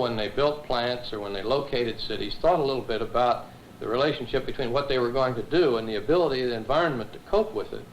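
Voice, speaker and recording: A man, an atmospheric scientist, explains in a measured, academic way with halting self-corrections, in an old film interview. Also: mid-range voice, low-quality recording. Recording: 1970s film interview, faint noise.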